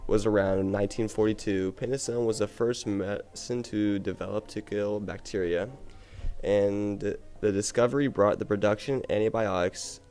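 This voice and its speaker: A man with a fairly low voice reads a scripted report for a radio program styled on the 1920s. Soft music sits faintly behind him. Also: fairly even intonation, normal speed.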